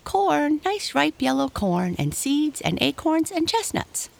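Storybook chipmunk, female performer: small, male, high-pitched, chirpy, sly and teasing, with a very animated sing-song lilt. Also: unhurried, creaky, full character voice. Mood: teasing, innocent.

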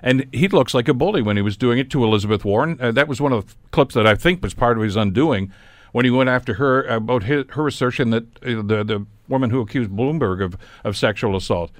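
A man's voice: medium pitch, quick pace, dry recording. A man hosting a radio panel discussion, telling stories in an opinionated way and acting out quotes as he goes. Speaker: radio talk show host.

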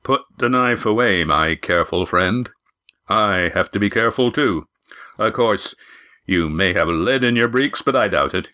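A burly little innkeeper from an adventure tale, acted out by a male reader, rumbles in a low, growling bass. He is folksy and wry, genial on the surface with a warning underneath. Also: lively intonation, a little slow, sharp tone. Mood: wary, mocking. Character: gruff, burly, short innkeeper, genial but menacing.

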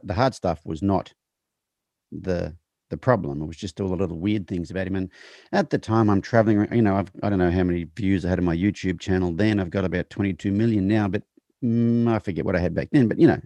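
Gravelly low-voiced man, halting. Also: mellow, dry recording. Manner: halting, restarts and trails off. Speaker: horse trainer. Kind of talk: casual interview chat.

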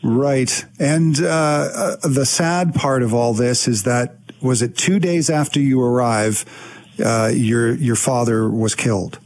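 A man hosting a radio interview, asking questions in a gentle, conversational way. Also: mid-range voice, slow. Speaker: radio host.

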